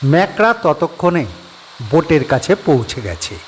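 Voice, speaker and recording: A man narrating a horror audio story at a steady, measured pace, his pitch sitting in the middle range. A faint hiss of noise lies under his voice.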